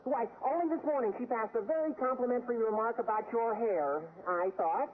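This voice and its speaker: High squeaky teen boy, eager and florid, old radio comedy, tinny sound. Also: brisk. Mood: earnest. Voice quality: squeaky, cracking adolescent voice. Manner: effusive, pompous wording, eager. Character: earnest, florid, squeaky teenage schoolboy. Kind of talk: 1940s-50s radio sitcom acting. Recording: tinny vintage radio with faint noise.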